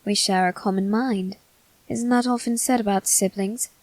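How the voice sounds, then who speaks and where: A woman voicing a soft-spoken, politely teasing male demon servant in an ASMR roleplay, with formal manners. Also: medium pitch, fairly even intonation, normal speed, lightly acted. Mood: coy, polite. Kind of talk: ASMR roleplay addressed to the listener.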